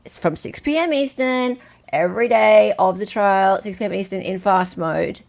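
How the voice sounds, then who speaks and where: A woman's voice: mid-range voice, moderate pace, vocal fry. Chatty Australian woman hosting a livestream. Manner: chatty, excitable, reads out chat comments. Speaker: livestream host. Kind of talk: casual live-stream chat.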